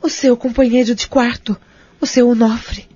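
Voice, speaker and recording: A woman's voice: fairly high pitch, steady tone, moderate pace, dark tone, dry recording. A woman playing a tense, anxious care home worker in an audio soap opera. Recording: lo-fi audio under clear music.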